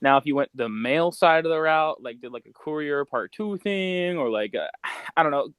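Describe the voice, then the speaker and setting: A man co-hosting a podcast in casual, slangy chat, rambling as he thinks out loud. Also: fairly high pitch, animated, moderate pace, clear, resonant tone, no echo, clean studio sound. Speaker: podcast co-host.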